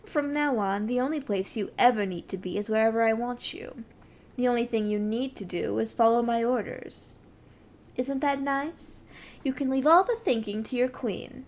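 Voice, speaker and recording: A woman's voice: on the high side, moderate pace, darkish tone, close to an everyday voice. A woman playing a haughty, condescending evil sorceress queen in an ASMR roleplay, with an imperious, theatrical and mocking delivery. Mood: smug, possessive.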